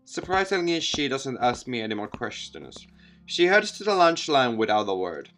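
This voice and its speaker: A man's voice: medium pitch, sing-song, normal speed, fairly bright tone, faint music behind. A man reading visual novel text aloud as a let's play host, theatrical, sometimes stumbling over words and correcting himself.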